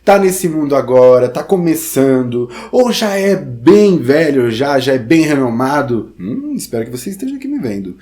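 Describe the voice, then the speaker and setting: A man's voice: mid-range voice, very animated, normal speed, few pauses, mellow. A Brazilian man giving an informal tutorial, talking straight to the viewer in a theatrical, conversational way. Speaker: theatre teacher and podcast host.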